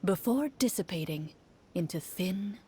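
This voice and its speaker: A woman's voice: on the low side, expressive, a little slow, warm tone, slightly rough, no room sound, full character voice. Dramatic female game master. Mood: composed, storytelling. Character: composed game master woman narrating a fantasy tabletop adventure. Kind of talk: dramatic storytelling narration. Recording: faint game music behind the voice.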